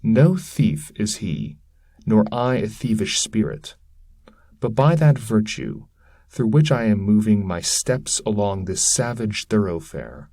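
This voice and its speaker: A man voicing a calm, authoritative poet guide in a verse reading, explaining things solemnly and formally. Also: fairly low pitch, takes it slowly, dry recording, full character voice. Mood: solemn, firm.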